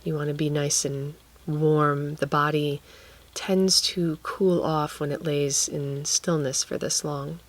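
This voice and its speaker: A woman guiding a relaxation meditation, speaking gently and leaving long pauses between phrases. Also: deep voice, level tone. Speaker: guided meditation teacher.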